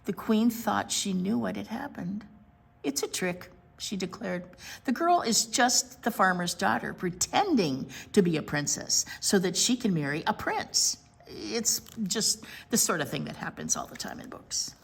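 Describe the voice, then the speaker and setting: Lady doing a kids read-aloud, super animated, bit husky and creaky. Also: medium pitch, measured pace. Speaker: children's storytime reader. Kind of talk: expressive picture book read-aloud.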